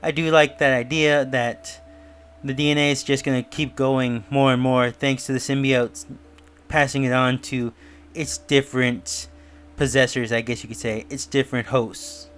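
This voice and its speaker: A man's voice: mid-range voice, little pitch movement, moderate pace, fairly bright tone, smooth. A man giving a casual review and theory commentary in a musing, speculative way. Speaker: YouTube reviewer. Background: music.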